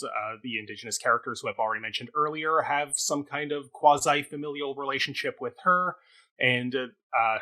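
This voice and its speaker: A man's voice: mid-range voice, bright, forceful tone. A man with a Canadian accent chatting casually as a reviewer, rambling, hesitant and a little self-deprecating. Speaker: co-host and reviewer. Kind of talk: casual chat, improvised synopsis.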